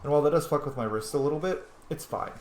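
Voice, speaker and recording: A man's voice: a little high, racing, a little mellow, echoey room, a little hiss or hum. A man giving casual, rambling let's play commentary as he games, with informal language and some swearing. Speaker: gaming video host.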